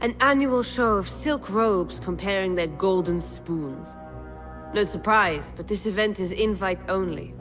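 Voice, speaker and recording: An actress playing a blunt, streetwise assassin informant in a video game, speaking in a wry, plain-spoken way. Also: female voice, mid-range voice, a little slow, fairly bright tone, soft background music, close to an everyday voice. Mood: briefing. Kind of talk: cinematic video game acting.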